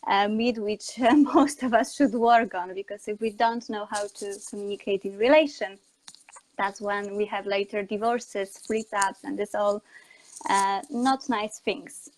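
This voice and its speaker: A woman's voice: mid-range voice, fairly even intonation, unhurried, darkish tone, rough recording. A woman from Poland with a Polish accent, speaking non-native English as a guest in a conversational interview about her work. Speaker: interview guest, communication coach and online educator.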